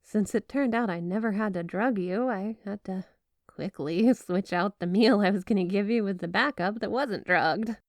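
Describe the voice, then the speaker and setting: A woman's voice: mid-range voice, moderate pace, dry recording, clean studio sound, natural voice. A woman playing a flirty, obsessive yandere snow spirit wife in an ASMR roleplay, gushing, coy and sweetly doting. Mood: fond, teasing. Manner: gushing, coy, playfully suggestive. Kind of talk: ASMR roleplay addressed to the listener.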